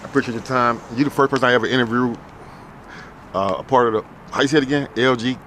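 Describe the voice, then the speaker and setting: A man's voice: mid-range voice, fairly even intonation, fast, fairly bright tone, slight background noise. A man running a casual street interview, repeating answers back and stammering through restarts. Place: city street outdoors.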